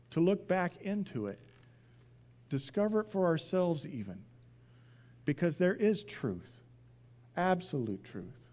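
Guy pastor giving a sermon, kinda high voice, repeats phrases and pauses for emphasis. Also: dark tone. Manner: rhetorical questions to the listeners.

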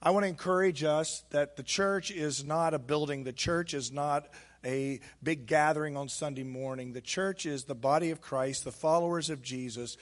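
A hoarse man speaking as a pastor, giving casual church announcements with frequent fillers. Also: fairly high pitch. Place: church sanctuary.

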